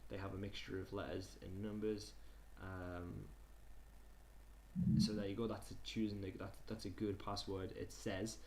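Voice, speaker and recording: Male online tutor, lowish pitch, conversational and hesitant, reads then comments, noisy recording. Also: level tone, normal speed, a little mellow. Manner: hesitant, conversational, repeats himself. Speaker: tutor presenting a digital skills session. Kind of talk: informal online lesson, reading slides aloud and commenting. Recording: clear background noise.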